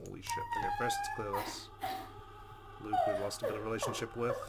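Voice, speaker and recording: A male let's player reads game text aloud with drama and reacts jumpily. His mid-range voice swings extremely widely in pitch, with very loud game sound behind it. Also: fairly quick, very noisy. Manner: expressive, jumpy, swearing. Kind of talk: dramatic reading with gameplay reactions. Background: game audio.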